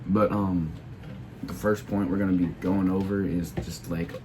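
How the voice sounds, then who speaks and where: A teenage boy speaks in a low register, audibly sick. He talks haltingly and keeps restarting his sentences with filler words. A faint background noise sits under his voice. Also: flat delivery. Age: teens. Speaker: video creator. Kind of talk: casual opinion monologue.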